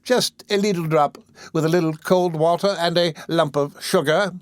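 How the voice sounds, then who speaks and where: A man's voice: fairly high pitch, normal speed, no echo, pitched above the performer's own voice, lightly acted. A man voicing a fawning, scheming woman who runs a nursery for orphans, gushing and wheedling with overdone humility. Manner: gushing, wheedling, overly humble. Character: fawning, scheming middle-aged woman running a workhouse nursery.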